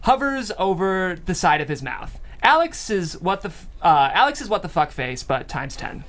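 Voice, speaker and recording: A woman's voice: lowish voice, sing-song, normal speed, a bit bright. A woman reading out stage directions as scripted narration at a comedy table read.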